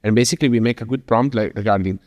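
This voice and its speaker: A man's voice: medium pitch, very fast, a little mellow, dry recording, big-room sound. A man from Italy with an Italian accent, giving a chatty, informal presentation in English with many fillers and restarts. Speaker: speaker at a business talk. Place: venue in Lisbon.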